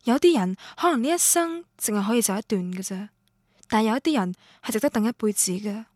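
A woman's voice: fairly high pitch, animated, a little fast, a little mellow, dry recording, studio quality. An actress with a Cantonese accent playing a romantic, stubborn young woman who tells her love story in a radio drama. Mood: tender, longing. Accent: Cantonese, Guangdong. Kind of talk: radio drama narration and dialogue, introspective first-person.

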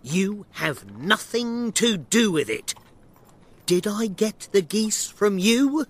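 A man voicing an angry, gruff, bullying shopkeeper in an acted storybook reading, shouting dismissively. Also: on the high side, slow, pitched above the performer's own voice, exaggerated voice. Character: tall, angry, bullying male poultry shopkeeper.

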